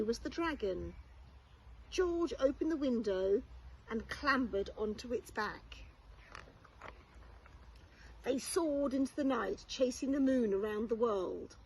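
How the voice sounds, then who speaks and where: A woman reading a children's storybook aloud expressively, like a primary school teacher, with measured, deliberate phrasing. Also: on the high side, a little mellow, a little hiss or hum, low-quality recording.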